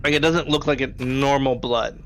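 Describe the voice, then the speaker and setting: A man's voice: medium pitch, steady tone, normal speed, slight background noise. A man running a tabletop game as game master, describing scenes in a matter-of-fact, conversational way. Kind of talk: casual tabletop game narration.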